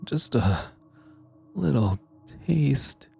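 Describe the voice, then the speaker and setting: A man playing a sinister, gleeful vampire's minion in an audio roleplay, servile to his master, with a raspy, breathy, creepy delivery that savors each word. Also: medium pitch, lively intonation, mellow, soft background music, heavily acted. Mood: hungry craving. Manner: slow, creepy, drawn-out, savoring words. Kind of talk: ASMR roleplay acting.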